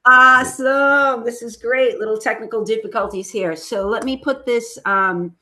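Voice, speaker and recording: A woman's voice: on the high side, normal speed, sharp tone. A woman whose first language is Italian, giving a chatty, upbeat webinar presentation on a video call. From United States. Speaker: webinar presenter, trade expert. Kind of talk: casual presentation.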